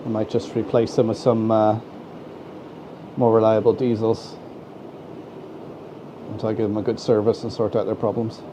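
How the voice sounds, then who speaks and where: A man's voice: fairly low pitch, little pitch movement, moderate pace, pauses often. An Irish man with a head cold, sounding stuffy, chatting casually as he hosts a live stream and greets viewers by name. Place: home model railway room. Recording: dull, slightly lo-fi home mic with faint noise.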